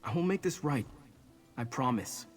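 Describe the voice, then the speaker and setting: A man's voice: mid-range voice, lively intonation, normal speed, close to an everyday voice. An actor playing an earnest, apologetic young man burdened with guilt in a video game.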